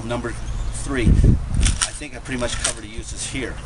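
A man's voice: medium pitch, fairly even intonation, unhurried. Man giving practical advice outdoors by traffic. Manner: plain, matter-of-fact, with restarts and self-corrections. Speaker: host showing survival gear. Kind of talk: unscripted how-to talk to camera.

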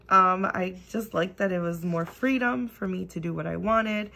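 A woman in her thirties hosting a casual budgeting vlog at home, bubbly and gushing, her voice slightly hoarse from a lingering cough. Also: mid-range voice, slight background noise. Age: 30s. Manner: bubbly, gushing, repeats herself. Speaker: budgeting channel host. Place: at home, at a table.

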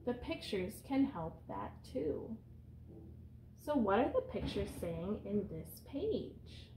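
A woman's voice: medium pitch, very animated, takes it slowly, warm tone, slight background noise, poor audio. A woman in her thirties reading a picture book aloud as a teacher, explaining simply and putting questions to young children. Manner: instructive, simple phrasing aimed at young children. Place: small room indoors.

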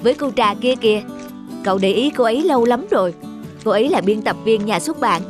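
Cartoon bachelor uncle, voiced by a woman: high-ish, harried, scolding, over music. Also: expressive, lightly acted. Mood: flustered. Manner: exasperated, quick to scold. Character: young adult man, flustered bachelor uncle. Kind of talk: cartoon voice acting. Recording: music underneath.

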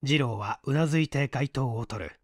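A man's voice: medium pitch, normal speed, no room sound, clean studio sound. A man narrating mystery fiction from a script as an audiobook reader, in a dramatic manner.

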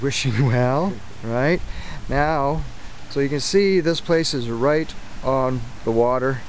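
A man's voice: mid-range voice, slow pace, poor audio. Man, handheld camera mic, outdoor tour host, friendly, admiring, audible outdoor noise. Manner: friendly, admiring, many fillers. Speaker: tour host filming a restaurant. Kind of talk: casual walk-through tour. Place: outdoor waterfront restaurant and bar.

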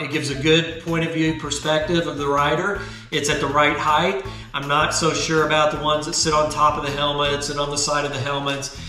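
50s guy chatting about his helmet setup, kinda high voice, faint music in the background. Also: echoey room. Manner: relaxed, chatty, self-deprecating. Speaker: motovlog channel host. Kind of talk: casual gear tutorial. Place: indoor garage studio.

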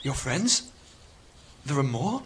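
A man's mid-pitched voice acting as an earnest, awestruck astronaut in a radio play. His delivery is halting and full of wonder. The track is clearly noisy, with birdsong behind. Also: very animated. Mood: bewildered. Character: awestruck, lovestruck stranded astronaut, earnest and bewildered.

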